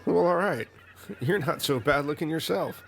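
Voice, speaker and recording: A man's voice: a little high, moderate pace, vocal fry, fairly bright tone, slight background noise. Man doing smarmy islander Kevin.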